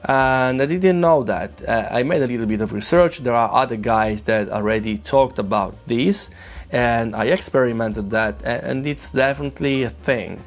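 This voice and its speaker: Gravelly Italian man recovering from flu. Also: mid-range voice, moderate pace, faint music behind. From Italy. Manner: hesitant, many restarts, friendly. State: voice rough from a flu. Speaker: guitar gear reviewer. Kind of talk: casual demo chat to camera.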